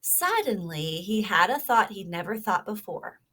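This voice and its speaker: A woman's voice: mid-range voice, expressive, unhurried, a bit bright. A woman reading a children's story aloud expressively, stopping to prompt her young listeners with questions. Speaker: storybook reader.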